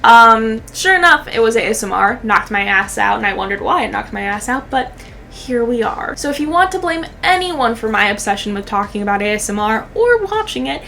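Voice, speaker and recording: High-voiced young woman, sweary and joking. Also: brisk, gravelly, a little hiss or hum. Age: young adult. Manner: sweary, joking, animated slang. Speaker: YouTube commentator. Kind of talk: casual reaction commentary.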